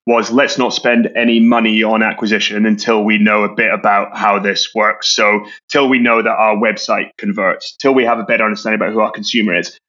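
A Scottish man, a brand co-founder, talking quickly in a casual business interview and often repeating words as he restarts. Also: on the low side, flat delivery, sharp tone, lo-fi sound. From Scotland.

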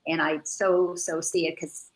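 A woman's voice: low voice, little pitch movement, unhurried, rough recording. A woman who grew up in the Dominican Republic, speaking earnestly over a video call and repeating words as she searches for them. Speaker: guest. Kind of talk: casual spiritual chat.

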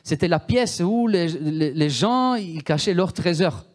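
An Italian-born man preaching a sermon with a heavy Italian accent. His voice sits a little high for a man. He is emphatic, repeats words and often turns to the listeners with questions. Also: lively intonation, a little slow.

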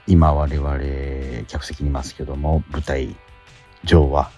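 A middle-aged man chatting casually as a radio host, animated but sometimes halting and reflective. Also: extremely low pitch, faint music behind. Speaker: radio host and theatre actor. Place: theatre auditorium during rehearsal.

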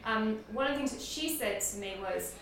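A woman's voice: on the high side, brisk, fairly bright tone. A woman with a New Zealand accent, a company founder chatting easygoingly as an interview guest and laughing easily. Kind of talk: casual interview. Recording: echoey room, voice far from mic.

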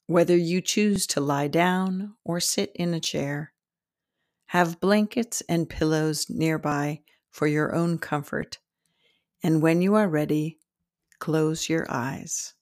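A woman leads a guided meditation in a slow, soothing delivery. She speaks in short, deliberate phrases with pauses between them, and her voice has a gravelly creak. The recording is close and dry, with very little room sound. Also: sits low, a little mellow, dry recording. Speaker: podcast host and meditation guide.

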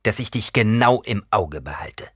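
A commanding young man in an audiobook, played by a male narrator, raised in pitch and declaiming loudly. He is scornful and threatening, recorded very dry and close. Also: animated, moderate pace, husky, dry recording. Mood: scornful, defiant. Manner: declaiming, forceful, loud.

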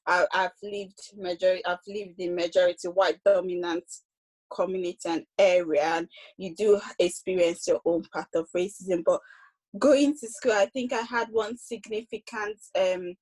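A young woman speaking on a discussion panel, reflective and hesitant, with frequent pauses and restarts. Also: fairly low pitch, fairly even intonation, darkish tone, rough recording. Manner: hesitant, many ums and restarts.

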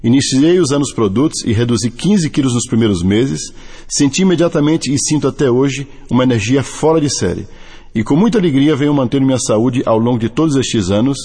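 A middle-aged Brazilian man with a Brazilian Portuguese accent, reading a motivational testimony in a deliberate, earnest way. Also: medium pitch, expressive, warm tone, husky. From Brazil (Bahia). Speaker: network marketing distributor. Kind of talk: scripted motivational testimony and read-out translation. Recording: long hall reverb.

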